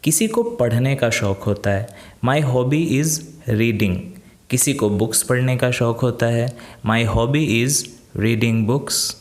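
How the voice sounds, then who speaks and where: Male Indian tutor, mid-pitched, unhurried and methodical, explaining step by step and drilling example phrases. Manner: methodical, repeats example phrases slowly and deliberately. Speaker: English teacher. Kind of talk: instructional lesson, explaining in steps with examples.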